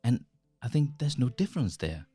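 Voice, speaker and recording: A man in his forties with a Danish accent, hosting a channel and talking casually to the camera from a small home studio, halting, with many restarts and fillers. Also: mid-range voice, big pitch swings, mellow, husky, soft background music. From Denmark. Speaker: host. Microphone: large studio mic on boom arm, headphones.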